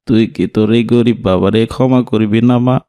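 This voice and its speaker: A man's voice: fairly low pitch, fairly even intonation, brisk, mellow, smooth, no echo, clean studio sound, close to an everyday voice. A man voicing a poor rickshaw-puller father who laments in a rural Bangladeshi dialect. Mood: despairing. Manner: lamenting, pleading.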